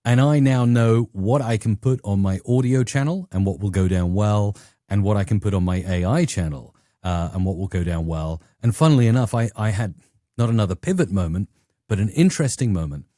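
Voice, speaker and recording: A middle-aged man with a southern English accent, telling stories conversationally as an interview guest, often acting out quotes in an animated manner. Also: on the low side, brisk, no room sound, studio quality. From England. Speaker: YouTube educator and interview guest.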